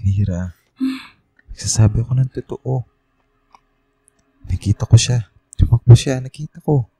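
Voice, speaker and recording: A man's voice: medium pitch, measured pace, warm tone, breathy edge. A young man playing an uneasy student from the provinces in a Filipino radio drama, hesitant and earnest. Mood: frightened, earnest. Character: uneasy young male provincial student who senses ghosts.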